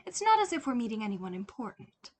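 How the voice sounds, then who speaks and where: A woman's voice: a little high, very animated, a little fast. A woman voicing a prim, wealthy mother with a formal, clipped, airily condescending manner in an audiobook-style story. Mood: airy, commanding. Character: prim, coolly commanding wealthy mother of a young boy.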